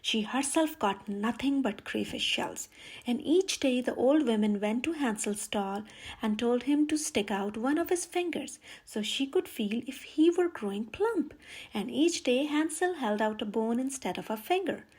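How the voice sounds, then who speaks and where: A woman with a high-pitched voice narrates a fairy tale for children. She reads it aloud as a storyteller would from a picture book. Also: expressive, measured pace, a little mellow, slightly rough. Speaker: storyteller, channel host.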